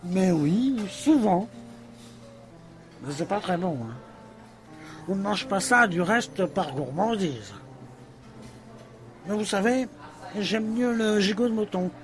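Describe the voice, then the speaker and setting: Guy doing a pompous old-time colonial explorer, smug and cruel, high voice, audio drama with music behind. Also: animated. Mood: smug, casual. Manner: pompous, declamatory, unhurried. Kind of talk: theatrical satirical audio drama acting.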